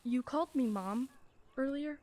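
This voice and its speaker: A woman's voice: high voice, measured pace. A woman playing a teasing single mother. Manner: teasing, gently probing. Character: warm single mother of a teen daughter. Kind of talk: radio play acting.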